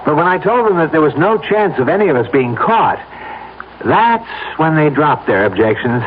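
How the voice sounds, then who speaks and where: A man's voice: a little high, sing-song, normal speed. Tinny, insistent scheming radio-drama man. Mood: confident scheming. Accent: American. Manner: insistent, persuasive, theatrical. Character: scheming city official pushing a murder plot, persuasive, insistent. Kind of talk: 1970s American radio drama acting. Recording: noisy, tinny old radio broadcast.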